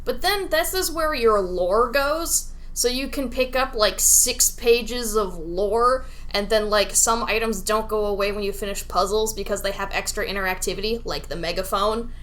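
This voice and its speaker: A woman's voice: medium pitch, moderate pace, hardly any pauses, a bit bright. A woman co-hosting a podcast in a casual, conversational review of a game. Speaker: podcast co-host.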